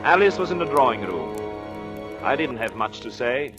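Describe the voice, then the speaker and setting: An actor playing a scheming married doctor in a 1940s radio thriller, intense and deliberate in his dramatic delivery. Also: medium pitch, very animated, loud music behind the voice, close to an everyday voice. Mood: brooding, tense. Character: scheming married doctor coldly plotting his wife's murder. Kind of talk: 1940s radio thriller acting with first-person narration.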